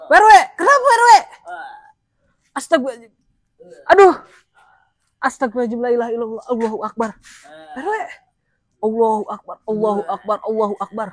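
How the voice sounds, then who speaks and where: A frightened woman shouting repeated prayers in panic and calling out for help. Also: fairly high pitch, brisk, pauses often, no room sound. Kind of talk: frantic real-time reaction.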